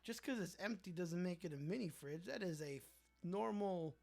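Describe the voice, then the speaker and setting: A man's voice: high-pitched, expressive, moderate pace, creaky, darkish tone, soft background music. A young man hosting a casual livestream, reading viewer comments aloud and joking about them. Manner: chatty, reads viewer comments aloud and riffs on them playfully. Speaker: livestream host reading chat.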